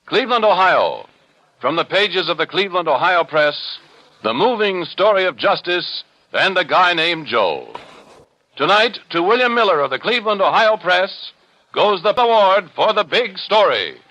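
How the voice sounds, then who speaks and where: An actor as a dramatic radio programme announcer of the 1940s, declaiming emphatically in a stentorian style. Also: male voice, high-pitched, very animated, normal speed, pushed and bright, no echo, heavily acted. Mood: grand, urgent. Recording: dull 1940s radio broadcast with music.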